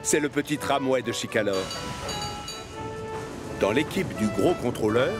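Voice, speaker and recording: A man's voice: medium pitch, animated, unhurried, music in the background. A man narrating a children's cartoon in a scripted storytelling style.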